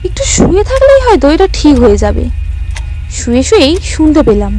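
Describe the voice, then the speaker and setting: A woman narrating a first-person story in a suspenseful, expressive, theatrical way. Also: high voice, poor audio. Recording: music bed under the voice.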